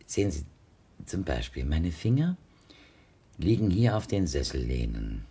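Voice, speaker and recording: An actor playing a courteous, observant blind man who flatters his hosts ceremoniously in a radio drama. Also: a bass voice, unhurried, breathy edge, full character voice. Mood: politely confiding. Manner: ceremonious, polite, savoring his words. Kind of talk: German radio drama acting.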